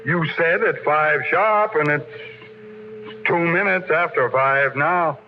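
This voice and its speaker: An actor playing a ruthless, domineering, power-hungry tycoon in a 1950s radio drama. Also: male voice, a little high, takes it slowly. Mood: impatient, scornful. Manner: forceful, domineering, bombastic. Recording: muffled, noisy 1950s radio recording.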